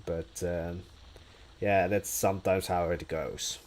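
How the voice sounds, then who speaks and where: A young Dutch-speaking man talks in English at an unhurried, drawn-out pace while he builds on a live stream. His voice sits low and mellow. He muses aloud and answers viewers' questions as they come. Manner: relaxed, musing aloud, answers chat questions.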